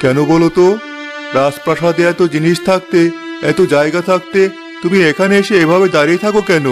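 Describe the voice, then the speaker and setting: A man playing a kindly king in a fairy-tale cartoon, a gentle and reassuring husband. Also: on the high side, steady tone, brisk, over music, natural voice. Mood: tender concern.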